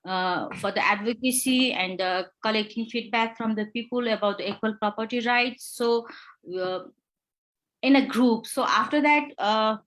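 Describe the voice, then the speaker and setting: A woman's voice: on the high side, a bit bright, poor audio. Young woman from Nepal, human rights lawyer, hesitant interview answers full of fillers. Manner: halting, many fillers and restarts.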